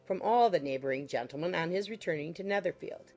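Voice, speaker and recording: A woman reading a classic novel as an audiobook narrator, in measured, formal storytelling style. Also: fairly low pitch, animated, darkish tone. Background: music under the voice.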